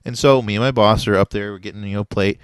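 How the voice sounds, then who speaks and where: A man co-hosting a podcast, relaxed and often laughing, telling stories with many pauses and fillers. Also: lowish voice, smooth, no room sound. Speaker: podcast co-host. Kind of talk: casual chat, storytelling.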